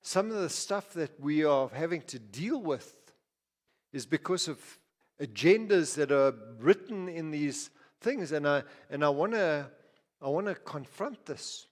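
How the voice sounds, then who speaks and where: A man's voice: on the high side, lively intonation, unhurried. A man from Zimbabwe preaching a sermon as pastor, talking conversationally with frequent restarts, asides and repeated words. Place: church. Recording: reverberant hall.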